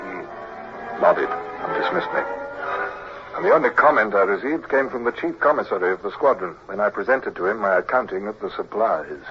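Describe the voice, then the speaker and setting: An actor playing a proud young British naval officer who recounts his adventures in a measured, literary 1950s radio drama style. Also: fairly low pitch, expressive. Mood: proud, wry. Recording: muffled old radio recording, music behind.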